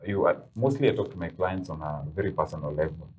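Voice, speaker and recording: A man's voice: fast talker, husky. A man with a Kenyan accent, a voice-over artist who speaks Kikuyu natively, chatting casually as a TV studio guest. He laughs often and moves between English and Swahili. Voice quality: deep, manly voice.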